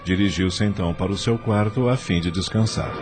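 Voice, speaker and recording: A Brazilian man narrating an audio drama from a script, with expressive dramatic storytelling. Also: deep voice, brisk. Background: faint music.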